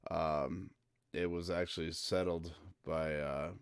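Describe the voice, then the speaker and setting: Deep-voiced man streaming. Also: fairly even intonation, slow, smooth, no echo, studio quality. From central Minnesota. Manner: rambling and easygoing, with frequent "uh" fillers. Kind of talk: casual live-stream chat.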